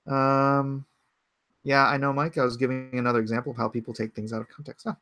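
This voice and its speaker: A man's voice: medium pitch, little pitch movement, brisk, a bit bright, dry recording. A man in his forties hosting a casual livestream from his home computer, rambling and thinking aloud as he answers chat comments. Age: 40s. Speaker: livestream host.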